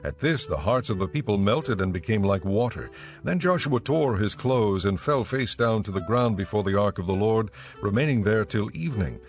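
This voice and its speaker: Low-voiced man narrating scripture. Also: expressive, soft background music. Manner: measured, storytelling.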